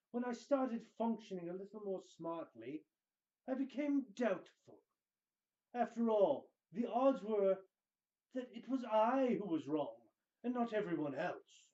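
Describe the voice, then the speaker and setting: A man voicing a pompous, theatrical wizard host who gives grandiose dramatic readings with heavy pauses. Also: high voice, animated, mellow, highs cut off. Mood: ominous, measured.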